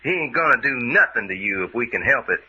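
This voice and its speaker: A lo-fi radio drama recording of a wry male adventurer with a drawl. His delivery is very animated and forcefully bright, folksy and excitable, with a protective streak. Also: mid-range voice, fast. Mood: wry, concerned. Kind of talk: radio mystery serial acting. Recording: lo-fi band-limited radio drama, faint noise.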